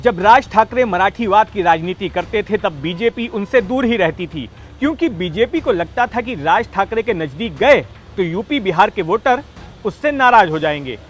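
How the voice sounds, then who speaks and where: A man's voice: sits high, expressive, normal speed, fairly bright tone, slight background noise. A man narrating a scripted political news explainer in an emphatic storytelling manner. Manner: emphatic storytelling with rhetorical questions. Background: background music.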